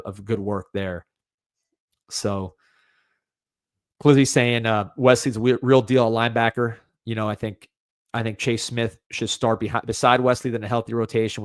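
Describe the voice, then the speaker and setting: A young man with a faint Cuban-American accent hosts a livestreamed sports show and talks casually. He rambles, restarts his sentences and drops in "man." His voice sits low and sounds dry and close, with no room around it. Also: dry recording. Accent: light Cuban-American. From United States. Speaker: podcast host.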